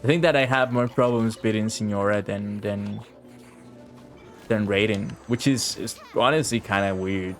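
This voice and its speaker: A man's voice: lowish voice, normal speed, faint music behind. A man giving casual, offhand live game commentary, swearing and muttering to himself as he plays. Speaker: game streamer.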